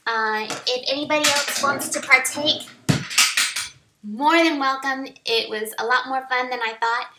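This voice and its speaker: A woman's voice: sits high, fairly even intonation, a little slow. A middle-aged woman chatting casually to a webcam in a small home office. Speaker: author making a challenge video. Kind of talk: casual vlog chat. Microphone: webcam at arm's length. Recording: roomy echo, faint handling noise.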